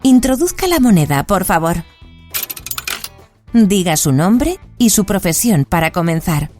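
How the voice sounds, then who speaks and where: A woman's voice: lowish voice, big pitch swings, a little slow, mellow, soft background music. A woman voicing a female automated movie-booth machine that reads out quiz prompts in a formal announcing manner. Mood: formal prompting. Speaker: quiz host voice.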